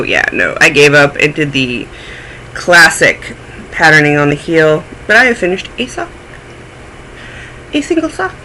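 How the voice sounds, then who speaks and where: A man's voice: high-pitched, pushed and bright, a little hiss or hum, rough recording. A man hosting a knitting podcast, chatting in a rambling, self-deprecating way and sounding a little phlegmy. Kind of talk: casual chat.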